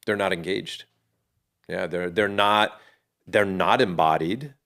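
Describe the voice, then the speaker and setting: Man, middle-aged, very deep voice, reflective, earnest, restarts sentences. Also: takes it slowly, creaky, a bit bright. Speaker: podcast guest, fatherhood community founder. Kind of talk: casual interview talk.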